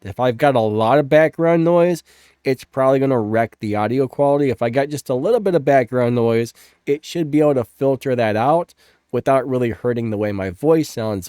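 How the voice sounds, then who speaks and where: A man's voice: mid-range voice, dark tone, no room sound, studio quality. A man hosting a casual tech tutorial, upbeat and chatty, with stuffed-up sinuses, recorded at home with a fan running. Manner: upbeat, chatty, says "ba-bam".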